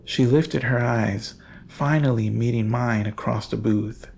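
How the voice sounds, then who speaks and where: Very gravelly, slow male horror narrator. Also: mid-range voice, steady tone, a little mellow, muffled recording, slight background noise. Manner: grave, measured, ominous.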